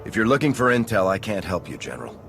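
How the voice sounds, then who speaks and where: An actor playing a steady, reserved military commander in a game cutscene, speaking in a restrained, matter-of-fact way. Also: male voice, on the low side, fast talker, gravelly, soft background music, heavily acted. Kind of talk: scripted game cutscene dialogue.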